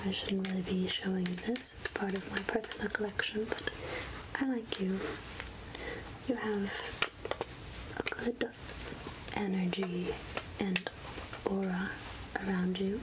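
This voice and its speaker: A woman playing a secretive woodland witch who sells potions, whispering softly and intimately in an ASMR roleplay. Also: medium pitch, fairly even intonation, heavy background noise, lightly acted. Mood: coaxing, reassuring. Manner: slow, deliberate, intimate, addressing the listener as darling. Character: Agatha, secretive woodland witch selling potions, coaxing and darkly kind.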